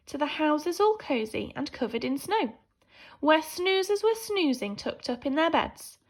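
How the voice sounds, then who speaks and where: A woman teacher reading a children's picture book aloud in an animated, theatrical way, swinging between hushed and emphatic delivery. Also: sits high, unhurried. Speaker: primary school teacher reading a story.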